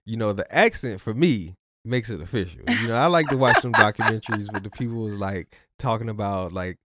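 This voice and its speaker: A man's voice: on the low side, fairly quick, sharp tone, slight background noise. Playful, stumbling male podcast host. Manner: loose, playful, repeats himself and stumbles. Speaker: podcast host and relationship coach. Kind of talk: casual podcast interview.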